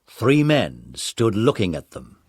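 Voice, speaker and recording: A man narrating a children's adventure story in a measured, dramatic storytelling manner. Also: lowish voice, wildly swooping pitch, breathy edge, no room sound.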